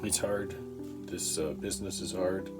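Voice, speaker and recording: A man chatting casually in a halting, rambling, profane way. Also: low-pitched, fairly even intonation. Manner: halting, profane, frequent throat-clearing. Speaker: amateur stand-up comedian. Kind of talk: rambling casual vlog chat. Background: guitar music.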